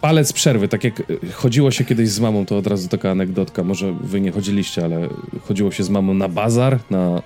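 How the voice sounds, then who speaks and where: A man's voice: sits low, fast, few pauses, warm tone, faint music behind. A man co-hosting a podcast in a casual, informal and joking way, given to anecdotes. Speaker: podcast co-host.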